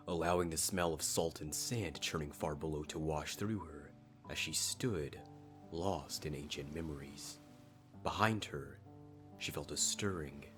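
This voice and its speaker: A man reading a dramatic scripted story as a fan fiction narrator. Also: very deep voice. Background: faint music.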